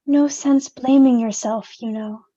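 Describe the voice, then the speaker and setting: A woman's voice: a little high, steady tone, measured pace, mellow. A woman playing a falsely sympathetic mourner in a radio play, offering pointed condolences, on a dull stage recording. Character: insinuating, falsely sympathetic female mourner named Helen.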